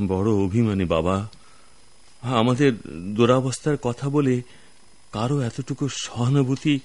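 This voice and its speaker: An actor playing an ailing, humble old father in a radio drama, speaking in a halting, gentle, pleading way. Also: medium pitch, no room sound. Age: older.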